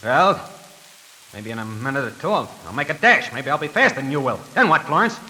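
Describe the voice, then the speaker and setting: A man playing a nervous, talkative tie salesman who narrates his own story in a 1940s radio drama, moving between hushed and frantic. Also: medium pitch, expressive, a little fast, breathy edge, exaggerated voice, poor audio. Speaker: radio actor narrating in character.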